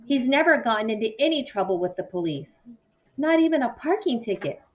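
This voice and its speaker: A woman's voice: a little high, very animated, fairly quick, muffled recording, voice pushed up. A woman voicing an earnest, anxious young girl in a children's novel read-aloud. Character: earnest, worried young girl defending her father.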